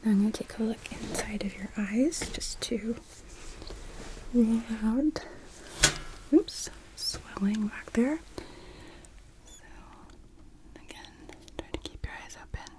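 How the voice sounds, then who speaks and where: A woman whispering softly and breathily as a doctor in an ASMR medical roleplay, giving gentle, reassuring, unhurried instructions. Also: fairly high pitch. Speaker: ASMR performer playing a doctor.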